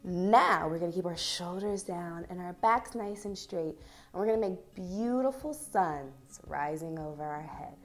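A woman in her thirties, a children's dance teacher reading and instructing young children in an expressive, deliberate, encouraging way. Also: fairly low pitch. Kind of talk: storybook read-aloud and instruction for young children.

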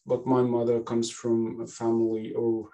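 A man's voice: medium pitch, completely flat delivery, moderate pace, warm tone, distant mic, rough recording. A man, speaking as a panelist in an unscripted talk. He hesitates a lot, restarting phrases and filling gaps with "you know", and speaks through a headset on a video call from a home office. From Egypt. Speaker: panelist, classics lecturer. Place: home office with bookshelves, indoors.

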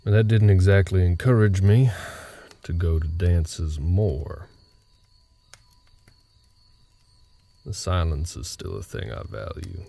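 A man playing a gruff, guarded cowboy ranch hand in an intimate roleplay audio drama, speaking tersely in rustic, folksy phrasing. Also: low voice, animated, a little slow, warm tone, full character voice. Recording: nighttime cricket ambience behind voice. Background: crickets.

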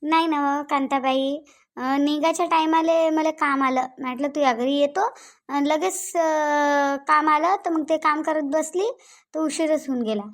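Casting: woman playing an apologetic, helpful village guest, high voice, Vidarbha Marathi accent, cartoon. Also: fairly even intonation, unhurried. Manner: apologetic, eager to help. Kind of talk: cartoon voice acting.

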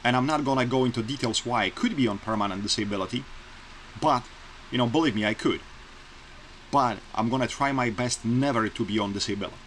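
A man from Poland speaks English with a Polish accent, earnest and plainspoken, pressing his points again and again. His voice sits at an ordinary male pitch. It comes through a webcam in a small bedroom with a faint hiss under it. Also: brisk, fairly bright tone, low-quality recording. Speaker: vlogger. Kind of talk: casual opinion vlog to camera. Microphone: webcam close-up.

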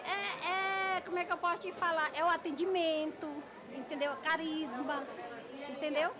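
A woman's voice: very high-pitched, steady tone, normal speed, crowd noise, background noise. A woman fruit vendor calling out to customers at her market stall and answering a reporter. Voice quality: loud hawking shouts. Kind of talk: market hawking and interview answers. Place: open-air market stall.

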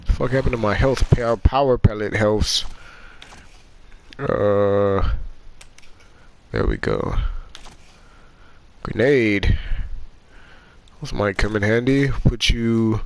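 A man's voice: on the low side, very animated, takes it slowly, gravelly, pauses often. A man streaming a game who sounds nasal from a cold, swearing and trash-talking the characters as he plays. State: sick with a cold. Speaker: gaming streamer. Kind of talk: let's play commentary.

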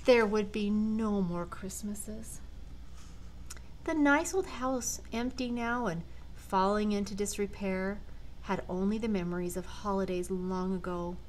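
An older woman, a grandmother, reading a children's storybook aloud with dramatic, deliberate phrasing. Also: mid-range voice, a little hiss or hum, rough recording. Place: large living room at home.

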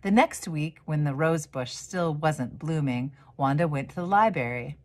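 A middle-aged woman with a low voice reads a children's picture book aloud. She tells the story expressively, in an animated way that suits young listeners. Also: moderate pace. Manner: animated, acts out the dialogue. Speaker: storyteller, reverend.

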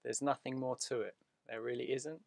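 A man leading a guided meditation in a soothing, unhurried manner, speaking in short phrases with long pauses. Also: mid-range voice, fairly even intonation, gravelly, a little mellow, no room sound.

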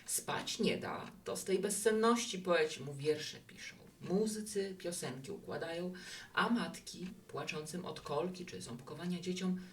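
A woman's voice: lowish voice, unhurried, recorded from a distance, lightly acted. An actress playing a chatty, romantic, hospitable woman who runs a bar in an audio drama. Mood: romantic musing. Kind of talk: Polish audio drama acting.

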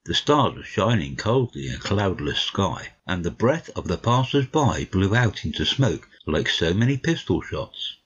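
A man's voice: deep voice, husky. A man narrating a literary audiobook from a script. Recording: slightly dull, lo-fi audio.

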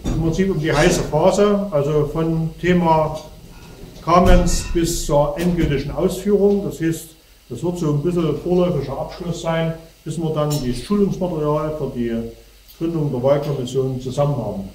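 A middle-aged man with a cold gives a talk to an audience, standing and gesturing as he explains. His voice sits a little high. He is picked up from far off in an echoey hall. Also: normal speed, darkish tone. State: has a cold. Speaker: speaker. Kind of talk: lecture to an audience. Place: darkened hall before a projection screen. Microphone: far camera.

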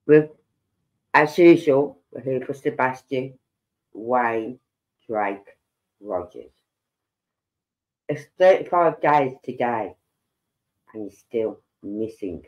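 A middle-aged man, slow and rambling as he hosts a livestream chat with a head cold and sore throat. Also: medium pitch, lively intonation. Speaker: livestream host. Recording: dull lo-fi sound.

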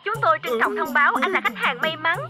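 A woman's voice: very high voice, animated, quick pace, loud music behind the voice. A woman voicing a polite, cheery cartoon telemarketer with formal, rehearsed sales patter.

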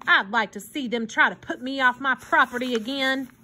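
A woman voicing a gruff, soft-hearted old hobo man in an expressive children's storybook reading, grumbling and exclaiming emphatically. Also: high-pitched, moderate pace. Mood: indignant.

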